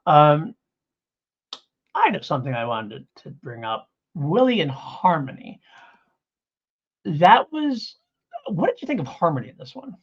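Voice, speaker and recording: Male podcast co-host, very lively intonation, slightly husky, rambling and keen, on a somewhat dull lo-fi recording. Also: a little high, a little slow, pauses often. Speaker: podcast co-host reviewing audio dramas. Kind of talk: casual review chat.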